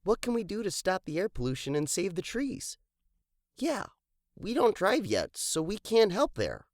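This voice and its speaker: A woman voicing a curious, practical young explorer in an expressive children's storybook read-aloud. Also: medium pitch, moderate pace, no room sound, clean studio sound, pitched below the performer's own voice, lightly acted. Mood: curious, earnest. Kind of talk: dramatized children's storybook dialogue.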